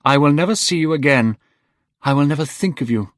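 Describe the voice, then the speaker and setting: A man's voice: medium pitch, animated, normal speed, no room sound, clean studio sound, voice pushed up, lightly acted. A man raising his voice for a proud young man. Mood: furious. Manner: cold and cutting, then bitter.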